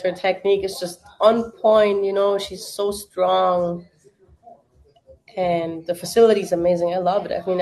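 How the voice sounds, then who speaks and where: A woman's voice: medium pitch, a little mellow, rough recording. A young woman with a German accent, hosting a casual livestream watch party, chatting with many fillers and answering viewers' questions.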